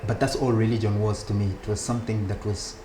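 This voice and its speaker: A man speaking as an interview guest, telling stories about his school years in a relaxed way, with frequent laughs and restarts. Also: on the low side, quick pace, a crowd in the background. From Uganda. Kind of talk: relaxed conversational storytelling.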